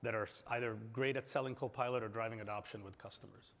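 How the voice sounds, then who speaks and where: A man moderating a conference panel, relaxed and encouraging as he hands over to speakers. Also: on the low side, quick pace. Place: conference stage. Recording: long hall reverb.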